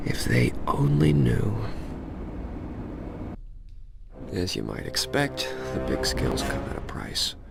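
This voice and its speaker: Young assassin antihero for game narration: cynical, cocky, crude, fry-edged delivery, music playing loud underneath. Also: male voice, fairly low pitch, huge pitch swings, measured pace, full character voice. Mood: boastful, wry. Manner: sardonic, crude, direct address to the listener. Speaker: narrator and protagonist. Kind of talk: scripted video game narration.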